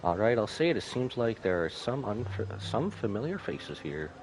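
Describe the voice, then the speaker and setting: Man reading as a hesitant young soldier woman, low-voiced. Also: big pitch swings, normal speed, a little mellow. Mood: hesitant, anxious. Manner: hesitant, apologetic. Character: troubled young female soldier, earnest and guilt-ridden. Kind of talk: game dialogue read aloud by a streamer. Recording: music behind the voice.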